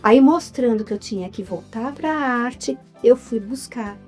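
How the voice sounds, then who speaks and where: A Brazilian woman in her fifties tells her story in a relaxed interview. Her voice sits a little high and stays animated. Soft music plays in the background. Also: a little slow. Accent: Brazilian Portuguese. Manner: lively, addresses the audience as "gente". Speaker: interview guest, actress. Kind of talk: casual interview chat.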